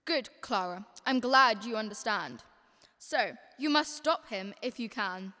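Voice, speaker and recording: A woman's voice: on the high side, lively intonation, a little slow, bright, forceful tone. A young woman playing an authoritative, exasperated superintendent of angels in a radio drama, emphatic and instructive. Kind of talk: stage-like radio drama acting. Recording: long hall-like reverb effect.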